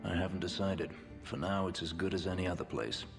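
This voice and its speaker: Very deep, gravelly brooding elf fugitive. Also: male voice. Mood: brooding, guarded. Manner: brooding, measured, understated. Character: brooding male elf fugitive, former slave, guarded, dry-witted. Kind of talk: dramatic video game acting. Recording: long reverb, music underneath. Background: music.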